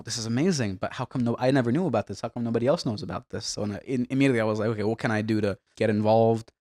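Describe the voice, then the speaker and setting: A man's voice: fairly low pitch, dry recording, clean studio sound. A young man from Bangladesh, a community organizer, talking earnestly and casually as a podcast guest, full of "like" and "you know". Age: young adult. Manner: earnest, filler-heavy with frequent "like" and "you know". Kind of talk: casual interview talk.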